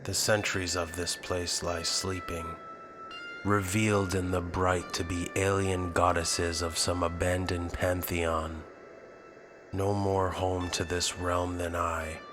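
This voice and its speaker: A man playing a noble, weary alien hero, narrating a comic in a solemn, theatrical, measured way. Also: low-pitched, level tone. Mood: grave, slow. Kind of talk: dramatic first-person comic narration and dialogue. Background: loud music.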